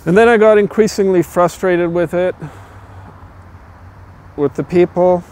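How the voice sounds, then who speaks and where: A middle-aged man speaks in a documentary interview about building guitars. His voice is smooth and resonant and sits a touch higher than most men's. He talks in a considered, unhurried way, often stopping to think with an "uh". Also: steady tone, darkish tone. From Austria. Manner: thoughtful, measured, with frequent "uh" pauses. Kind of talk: documentary interview, reflective storytelling.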